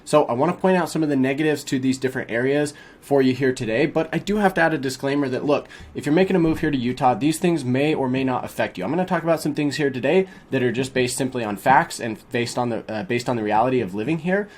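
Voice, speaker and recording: A young man in a small home studio, talking viewers through a map in an upbeat, casual way, a little sniffly from a cold. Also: mid-range voice. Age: young adult. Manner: upbeat, fast-talking, addresses viewers as guys. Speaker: real estate agent. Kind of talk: casual explainer vlog.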